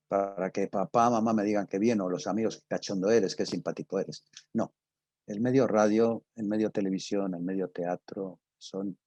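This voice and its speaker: An older man with an accent from Spain, giving an informal talk and answering questions, halting and emphatic, often repeating himself, with a husky voice. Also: fairly low pitch, darkish tone, no room sound. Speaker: radio drama professor and guest speaker.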